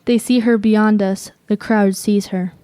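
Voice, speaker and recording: A woman's voice: medium pitch, fairly even intonation, a little slow, warm tone, clear, resonant tone, natural voice. A young woman playing a watchful radio reporter, giving tense eyewitness commentary in short statements for an audio drama. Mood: urgent. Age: young adult.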